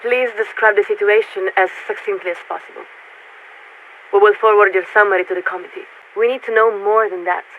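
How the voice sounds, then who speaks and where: An actress playing a formal, detached military warship radio officer in a video game, speaking in clipped official procedure through a radio filter. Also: female voice, medium pitch, steady tone, fairly quick. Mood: formal, probing. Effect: radio transmission filter. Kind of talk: scripted video game voice acting. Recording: narrow, tinny radio link with static.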